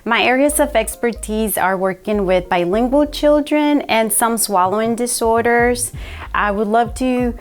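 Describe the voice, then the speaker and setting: Woman from El Salvador, faculty intro, slightly high voice. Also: measured pace. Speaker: speech therapy professor. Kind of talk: scripted self-introduction.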